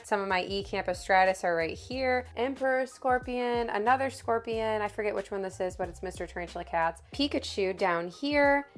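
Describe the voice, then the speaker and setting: Woman vlogger, a touch hoarse, eager and chatty while showing off her pets, mid-range voice over faint music. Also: medium pitch. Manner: chatty and enthusiastic, with little laughs. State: slightly hoarse, recovering voice. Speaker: pet and spider keeper giving a room tour. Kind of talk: casual home tour vlog.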